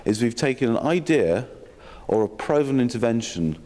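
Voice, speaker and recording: A man with a slightly croaky voice giving a welcome speech at a health sector session, with dry self-mocking humour and many ums and restarts. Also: medium pitch, lively intonation, moderate pace, dark tone. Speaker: opening speaker at a health sector learning session. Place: conference hall. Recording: long hall reverb.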